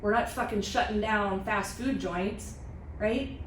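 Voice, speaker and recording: A woman's voice: mid-range voice, measured pace, distant mic. An American woman in her forties hosting a casual podcast, blunt and emphatic, swearing freely. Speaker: podcast host. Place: small office meeting room. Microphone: podcast mic on boom arm. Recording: roomy, off-mic sound, faint noise.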